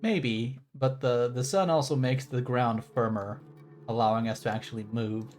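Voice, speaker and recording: A man's voice: medium pitch, fairly even intonation, normal speed, a little mellow, faint music behind. A man giving casual, rambling game commentary as a livestreamer, with a playful, wry manner.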